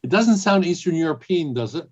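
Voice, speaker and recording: A man's voice: on the high side, animated, fairly quick, a little mellow. A man leading a service over a video call, playful and hesitant with many ums. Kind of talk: casual service hosting. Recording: dull, lo-fi call audio.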